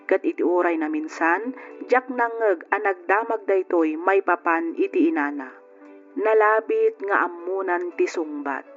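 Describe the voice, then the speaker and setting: An actor playing a wistful father narrating reflectively in the first person for a radio drama, in a measured way. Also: sits high, a bit bright. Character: parent reflecting sorrowfully on raising a child. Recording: dull lo-fi recording under music. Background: music.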